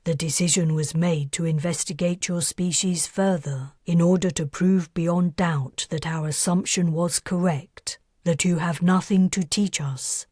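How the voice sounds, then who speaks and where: A woman voicing a calm, literal feathered alien who speaks in a flat, detached way. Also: fairly low pitch, a little slow, few pauses, slightly rough, no room sound, studio quality.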